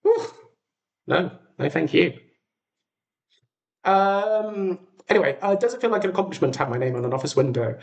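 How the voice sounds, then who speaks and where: A young British man talks casually to his livestream viewers. His pitch swings widely as he goes, giving an enthusiastic, whimsical feel to the chat. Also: lively intonation, moderate pace, gravelly, long pauses, dull audio. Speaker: livestream host.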